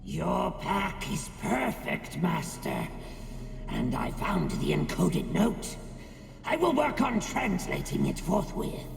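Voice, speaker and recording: A man voicing a small demonic rat servant in a video game, gravelly, grovelling and grandiose in a theatrical way. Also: high-pitched, sing-song, slow pace, soft background music, full character voice. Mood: fawning, devoted. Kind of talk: video game character acting.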